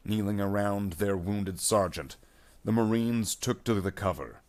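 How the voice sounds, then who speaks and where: A man reading a scripted action story aloud in a measured, dramatic way as its narrator. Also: on the low side, a little mellow.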